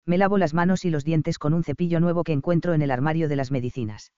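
A man narrating a first-person romance audiobook in a calm, even storytelling manner, in a slightly dull, dry recording. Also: a little high, fast, clear, resonant tone, no echo.

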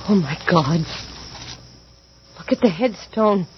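Distraught graveyard searcher, radio horror; husky female voice, swinging pitch, dull vintage sound. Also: lively intonation, slow, slight background noise. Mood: horrified. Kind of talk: radio horror drama acting. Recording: muffled old radio drama recording.

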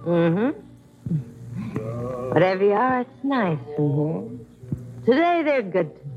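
Swooping, mocking boozy dame. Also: female voice, medium pitch, big pitch swings, takes it slowly, over music. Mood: dreamy, flirtatious. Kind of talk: 1950s radio crime drama acting. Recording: muffled vintage radio recording, faint noise.

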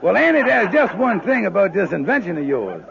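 An actor playing a wheedling, fast-talking husband in a 1950s radio sitcom, with folksy, exaggerated dialect and a coaxing manner. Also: male voice, high-pitched, very animated, gravelly, a crowd in the background, heavily acted. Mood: amused. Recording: tinny, narrow-band old radio broadcast.

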